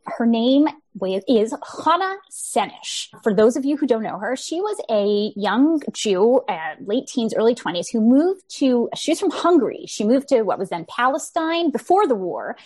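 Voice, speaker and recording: A woman's voice: fairly high pitch, no echo. A woman in her forties from Canada, an author telling her story in an interview in a friendly, articulate way. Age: 40s. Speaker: author guest. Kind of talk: interview answers.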